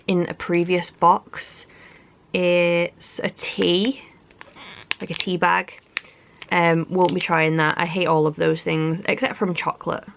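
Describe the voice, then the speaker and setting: A woman's voice: on the low side, creaky, slight background noise. A young woman from England with a stuffy, congested cold, chatting casually through an unboxing with lots of likes and ums. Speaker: beauty vlogger.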